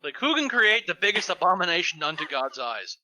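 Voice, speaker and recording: A man's voice: high-pitched, sing-song, a little fast, bright, forceful tone, no echo. A man co-hosting a fan fiction show with casual, joking banter.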